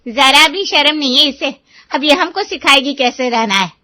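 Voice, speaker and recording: A woman voicing a stern, nagging Indian mother in an animated moral story. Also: high voice, normal speed, dry recording. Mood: exasperated. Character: strict, scolding Indian mother of a grown daughter.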